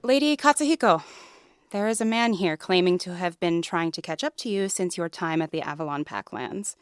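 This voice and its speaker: A woman's voice: on the low side, expressive, moderate pace, smooth, dry recording, studio quality, close to an everyday voice. A woman playing a gracious, plain-spoken mate of a werewolf pack leader in a fantasy audio drama. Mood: matter-of-fact. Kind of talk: scripted fantasy audio roleplay.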